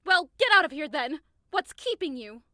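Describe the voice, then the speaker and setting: Actress as hot-tempered young woman in love, high bright voice, melodramatic, wounded and indignant, audio drama. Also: big pitch swings, moderate pace, no room sound, clean studio sound, exaggerated voice. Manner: melodramatic, indignant, outbursts swinging to wounded pleading. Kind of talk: modernized Shakespeare audio drama acting.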